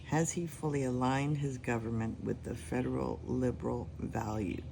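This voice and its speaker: A man from Canada reading questions and giving answers slowly and deliberately, adding his personal commentary. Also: medium pitch, steady tone, gravelly, darkish tone, a little hiss or hum. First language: English. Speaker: spiritual reader.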